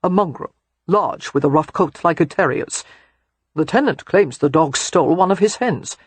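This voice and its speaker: A woman acts a calm young father for an audiobook. The voice is slightly rough and recorded very dry. He is fond and gently cautioning, with a deadpan wit. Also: low voice, normal speed, dry recording. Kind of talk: audiobook character voice.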